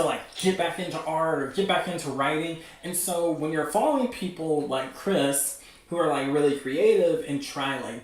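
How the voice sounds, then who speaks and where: A man hosting a casual livestream, talking in a gushing, excitable, rambling way peppered with "like". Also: fairly high pitch. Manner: gushing, excitable fan enthusiasm; rambling, heavy use of "like". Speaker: livestream host. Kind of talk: casual livestream chat about webcomics. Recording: echoey room, distant from mic.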